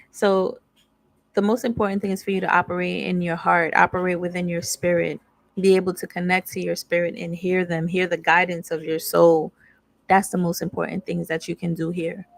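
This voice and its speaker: Female tarot reader, young, flat low delivery, dry close sound. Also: creaky, dry recording. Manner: candid, slangy, reads card text aloud then riffs. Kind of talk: casual channeled tarot reading.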